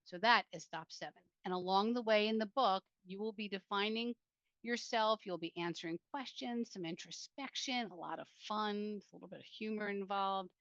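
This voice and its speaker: Woman, author reading aloud, earnest, deliberate, direct address, medium pitch, slightly lo-fi sound. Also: a bit bright, no echo. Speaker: author reading from own book. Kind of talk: self-help book reading with spoken introduction.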